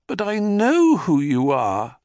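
A man voicing a worried, loyal young friend who pleads gently. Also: sits high, huge pitch swings, slow, dry recording, voice pushed up, exaggerated voice. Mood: pleading.

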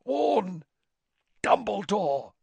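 A man's voice: a little high, lively intonation, normal speed, fairly bright tone, no echo, voice pushed up. A man reading aloud and voicing a deranged, exhausted older male official who gasps out broken fragments of speech. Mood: whispering.

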